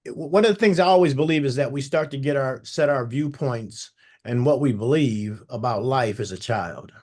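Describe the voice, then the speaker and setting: A man hosts a conversation podcast in a relaxed interviewing manner. He reflects aloud between his questions and restarts his words now and then. His voice sits at a middling pitch. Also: normal speed. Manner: warm, reflective, with false starts. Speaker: podcast host. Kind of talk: casual interview.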